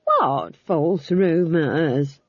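A woman voicing an unflappable old witch, plain-spoken, defiant and deadpan, in an audiobook. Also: low voice, extremely slow, husky, dry recording, lo-fi sound, exaggerated voice. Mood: deadpan defiance. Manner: rustic, deadpan backtalk. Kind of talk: audiobook character voice.

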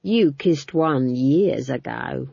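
A woman voicing a leering, coarse male dungeon guard who speaks in rough dialect. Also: medium pitch, lively intonation, slow, darkish tone, no echo, muffled recording, pitched below the performer's own voice, exaggerated voice. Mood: leering, menacing. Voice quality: drawling, menacing, rough dialect.

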